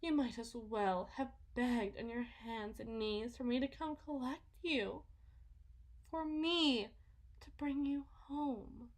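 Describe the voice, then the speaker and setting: A woman playing a delusional, condescending, possessive wealthy mother in a theatrical ASMR roleplay, phrasing everything in a drawn-out, deliberate way. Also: fairly high pitch. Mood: condescending, chiding. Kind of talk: ASMR roleplay, dramatic monologue.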